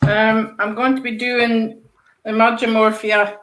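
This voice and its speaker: An older woman chatting on a video call, speaking softly and trailing off at times, with a dry sense of humour. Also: on the high side, level tone, measured pace, roomy sound, soft background music, low-quality recording. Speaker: participant in a live colouring call. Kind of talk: casual chat.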